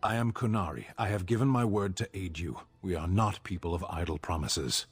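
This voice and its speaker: An unyielding giant warrior in a fantasy video game. His voice is very low and rough, his delivery deadpan, terse and formal, with faint music behind. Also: brisk. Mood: stern. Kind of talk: video game dialogue acting.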